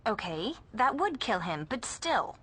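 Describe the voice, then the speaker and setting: A woman's voice: on the high side, sing-song, unhurried, sharp tone, husky, exaggerated voice. An actress playing a haughty, tactless teenage girl with an American accent in a video game, sarcastic and snippy.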